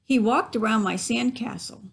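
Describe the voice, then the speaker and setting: A woman's voice: fairly high pitch, normal speed, gravelly. A woman reading a picture book aloud to children as a library story reader, instructive and given to asides to her listeners.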